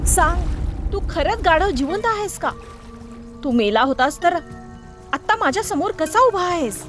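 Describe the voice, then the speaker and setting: Woman voicing a high-pitched, brave storybook nanny goat. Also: animated, unhurried, music in the background. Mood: demanding. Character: brave, determined female goat who questions and rallies animals. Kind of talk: animal storybook character voice.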